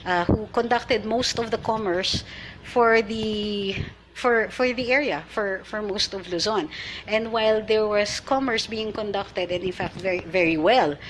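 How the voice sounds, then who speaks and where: A woman's voice: mid-range voice, slight background noise, poor audio. Filipino woman explaining in casual Taglish. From Philippines. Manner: explanatory, mixing Filipino and English, many fillers and restarts. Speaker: online show host reading viewer comments.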